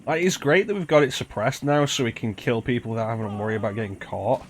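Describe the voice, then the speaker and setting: A man's voice: medium pitch, quick pace, slight background noise. A man streaming a video game with casual live commentary, talking in rambling, conversational asides.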